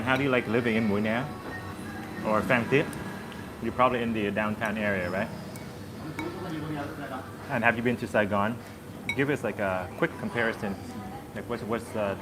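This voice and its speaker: Easygoing man over loud street traffic. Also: lowish voice, fairly even intonation, normal speed, long pauses. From Southern Vietnam. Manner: rambling, filler-heavy and easygoing. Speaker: vlogger. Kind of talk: casual chat with live viewers. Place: busy street outdoors. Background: loud traffic and street noise.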